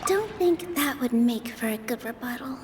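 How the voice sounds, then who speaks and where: A woman's voice: sits high, lively intonation, normal speed, long reverb tail, background noise. A woman streamer reading game dialogue aloud while playing, repeating lines. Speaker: gameplay streamer.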